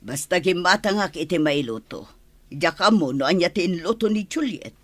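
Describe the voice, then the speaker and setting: A woman acting a brisk, bossy mother in an Ilocano radio comedy drama. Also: fairly low pitch, animated, no room sound.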